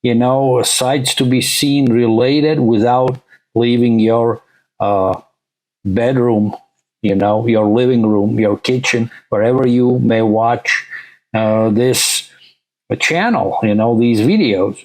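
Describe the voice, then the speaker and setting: A man's voice: medium pitch, slow pace, a little mellow, poor audio. A middle-aged man from Latvia, for whom English is a third language, casually talking as a channel host and leaning heavily on "uh" and "you know.". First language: not English; English is his third language. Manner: rambling, many "uh" and "you know" fillers. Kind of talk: casual vlog narration over a shared screen.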